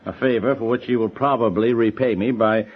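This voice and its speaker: Man acting as sardonic husband, medium-pitched voice, exasperated grumbling, 1950s radio comedy, dull old recording. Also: fairly even intonation, brisk, close to an everyday voice. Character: grumbling, wry husband, reluctant uncle of a nephew.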